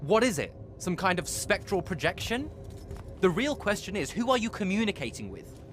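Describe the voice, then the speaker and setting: A man's voice: high voice, big pitch swings, moderate pace, a little hiss or hum. An actor playing a stern, sardonic male battlemage who questions incisively in video game cutscene style. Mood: suspicious, sarcastic. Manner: incisive, accusing.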